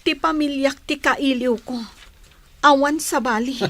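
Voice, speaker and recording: A woman plays a young, long-suffering village wife with an Ilocano accent. Her voice sits high, with a pleading, homesick tone suited to radio drama. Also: fairly even intonation, unhurried, a bit bright. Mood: plaintive.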